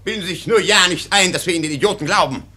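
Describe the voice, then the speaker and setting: An actor playing an irascible, blustering army doctor who barks with contempt and hems and haws, in a German radio play. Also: male voice, high-pitched, rapid-fire, pushed and bright. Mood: furious. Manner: barking, contemptuous, hemming and hawing.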